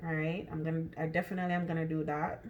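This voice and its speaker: A woman's voice: low voice, level tone, very fast, darkish tone, dull audio, a little hiss or hum. A woman with a Jamaican accent chatting casually through a cooking demonstration in her home kitchen, thinking aloud with lots of ums and restarts. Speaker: cooking vlogger.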